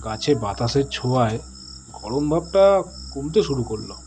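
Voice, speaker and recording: A man's voice: medium pitch, lively intonation, moderate pace, darkish tone, a little hiss or hum. A man narrating a horror story in a suspenseful, theatrical, dramatic manner.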